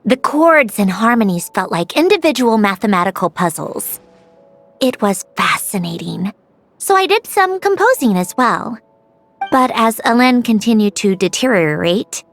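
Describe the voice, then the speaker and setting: Game role: young female genius mechanic. Proud, dry, wistful, lively intonation, light music underneath. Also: medium pitch, no room sound. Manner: self-assured, precise, nostalgic. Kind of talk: scripted video game dialogue.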